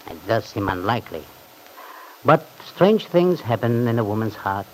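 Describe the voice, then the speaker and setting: An actor playing a cautious, worried manservant, formal and discreet, with a creaky voice, in an old-time radio serial. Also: male voice, lowish voice, big pitch swings, normal speed. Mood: discreet reproof. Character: deferential, cautious, worried manservant advising his employer. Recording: faintly noisy old radio recording.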